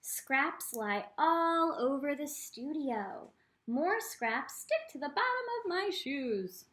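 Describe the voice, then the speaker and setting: A woman reading a picture book aloud to children as an art teacher, expressive, with teaching asides spoken directly to the young listeners. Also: sits high, takes it slowly.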